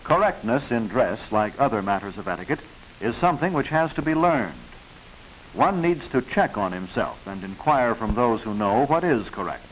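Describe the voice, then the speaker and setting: Male narrator, American, mid-century educational film style. Instructive, proper, expressive intonation, dull hissy vintage audio. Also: mid-range voice. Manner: instructive, proper, measured enunciation. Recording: hissy, muffled 1940s film soundtrack.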